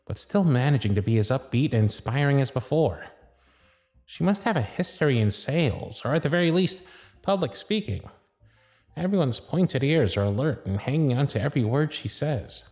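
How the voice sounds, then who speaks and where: A man's voice: mid-range voice, a little mellow, breathy edge, no room sound, heavily acted. A man playing a firm yet fond, protective Christmas elf supervisor in a scripted ASMR roleplay, speaking in a bossy, teasing, affectionate way. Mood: admiring, musing. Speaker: audio roleplay voice actor. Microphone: close, dry studio mic.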